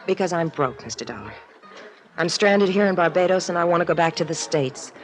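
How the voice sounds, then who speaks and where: A woman's voice: lowish voice, fairly quick, faint music behind, lightly acted. A woman playing a nervous, stranded young woman in a radio drama, talking in an earnest, pleading, apologetic way. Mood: confessing. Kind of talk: 1950s radio drama acting.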